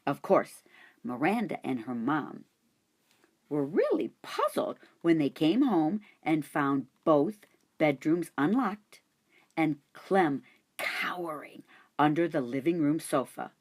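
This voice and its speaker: A woman reading a children's chapter book aloud in an expressive storytelling manner. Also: sits low, slow. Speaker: audiobook reader. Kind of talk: children's audiobook narration.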